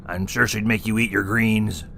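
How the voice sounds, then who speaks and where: A man's voice: lowish voice, steady tone, moderate pace, creaky, fairly bright tone, slight background noise. An actor playing a wisecracking male clown who co-hosts a scripted horror review. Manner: punny, teasing. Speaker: co-host. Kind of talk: scripted comedic review banter.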